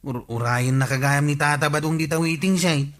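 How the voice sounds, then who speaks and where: A man's voice: a little high, moderate pace, close to an everyday voice. A man with an Ilocano accent playing a cheeky, cajoling lazy nephew in a radio comedy drama. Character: cheeky freeloading nephew, a lazy tricycle driver.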